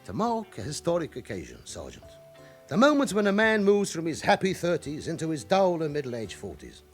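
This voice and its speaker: An actor playing an evasive, chatty forty-year-old London club owner with an underworld past in a radio drama. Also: male voice, on the high side, huge pitch swings, a little fast, soft background music. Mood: affable, guarded.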